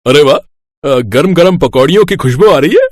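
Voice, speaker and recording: A man's voice: on the high side, animated, moderate pace, no room sound, voice pushed up. A man voicing a chirpy, casual brother-in-law who is eager and coaxing in a moral story. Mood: cheerful. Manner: eager, breezy.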